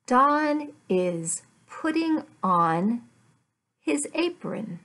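Very slow-paced woman teacher, slightly high voice. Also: big pitch swings, fairly bright tone. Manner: very deliberate, careful enunciation, repeats phrases for learners. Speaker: English teacher. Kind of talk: slow, simplified language lesson read aloud. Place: furnished room at home.

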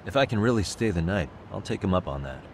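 Low-voiced, guarded detective. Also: male voice, animated, fast, noisy background. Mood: questioning. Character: guarded, determined male private detective in his thirties. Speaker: voice actor, video game English dub. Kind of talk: video game cutscene dialogue.

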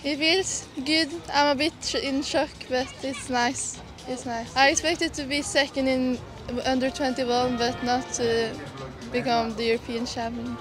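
A woman's voice: high-pitched, flat delivery, a little slow. A woman, a competitive windsurfer, speaking in a post-race interview. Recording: music over outdoor ambience.

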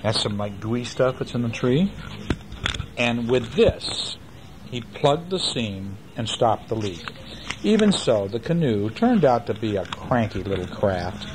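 An older man reading a children's book aloud at home and chatting gently with a child between passages. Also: mid-range voice, sing-song, a little slow, background noise. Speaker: father reading a bedtime story. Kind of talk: bedtime story reading to a child.

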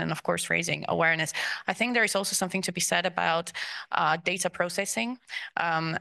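A woman's voice: lowish voice, gravelly, sharp tone. A woman in her thirties speaking as a panelist on a conference stage into a handheld mic, thoughtful and hedging with fillers. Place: conference stage, large hall.

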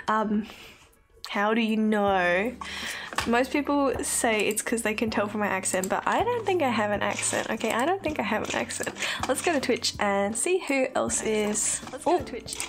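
A woman's voice: on the high side, a bit bright. A young Australian woman streaming from her bedroom, chatting casually with viewers, giggling often and apologizing a lot. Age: young adult. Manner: chatty, giggly, apologetic, reads chat aloud. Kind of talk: casual chat with live chat viewers. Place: bedroom at home, indoors.

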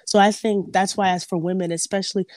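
A woman's voice: medium pitch, steady tone, fast, darkish tone, no echo. Young new york woman telling her story on a video call, dry sound. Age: 20s. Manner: earnest, storytelling. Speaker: guest. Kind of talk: casual podcast chat.

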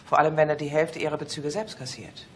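A man's voice: fairly high pitch, fairly even intonation, fast, slight background noise, natural voice. A man acting in a German radio play as a shrewd, outspoken police colleague with a dry, matter-of-fact manner.

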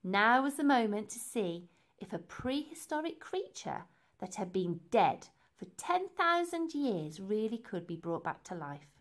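A woman reading a children's book aloud in an animated way, with dramatic emphasis for young listeners. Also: medium pitch, a little slow. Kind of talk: expressive storybook narration.

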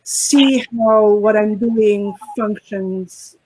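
A woman whose first language is Italian, speaking as a poetry translator on a video call panel, slow and halting as she searches for words. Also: mid-range voice, warm tone, slightly rough, poor audio. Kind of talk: reflective panel discussion.

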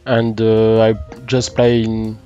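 A man's voice: fairly low pitch, completely flat delivery, slow, warm tone, highs cut off, faint music behind. A man in his thirties, an esports assistant coach, answering casually in non-native English. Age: 30s. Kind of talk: casual self-introduction and Q&A.

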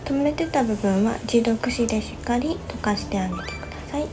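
A young woman in her twenties, a hairstylist, calmly explaining each step of a hair styling tutorial. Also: a little high, a little fast, background noise. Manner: gentle step-by-step instruction. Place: small bright studio indoors.

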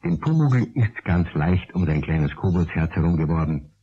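A man narrating a children's radio story in a calm, measured way. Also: low-pitched, slightly rough. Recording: dull old radio recording.

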